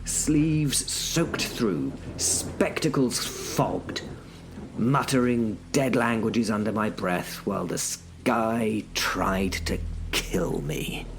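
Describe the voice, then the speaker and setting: A man's voice: on the low side, drawn-out words, creaky, a little hiss or hum, rough recording. A man narrating a first-person horror audio drama in a wry, self-mocking way. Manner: wry, self-mocking, dry British humour. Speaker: narrator and lead character.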